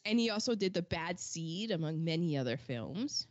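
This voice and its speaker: Brief: chatty woman podcast co-host, reads out credits with quick asides, dry and a little dull-sounding. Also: fairly low pitch, sing-song, dry recording. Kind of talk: casual chat reading film credits.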